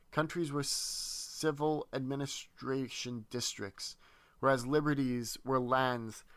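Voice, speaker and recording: A man presenting a history lesson, reading a dense text aloud in a measured way with small slips and restarts. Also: medium pitch, pushed and bright, dry recording.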